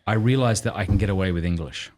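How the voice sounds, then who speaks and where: Deep-voiced Australian man hosting a podcast. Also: quick pace, darkish tone. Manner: candid, self-deprecating. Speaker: podcast host. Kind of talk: casual conversation.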